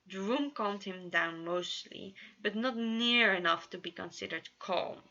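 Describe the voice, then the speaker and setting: A woman's voice: mid-range voice, measured pace, sharp tone. A woman reading fan fiction aloud as an amateur narrator, often tripping on words and correcting herself. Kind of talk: story reading with character voices. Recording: dull lo-fi home recording.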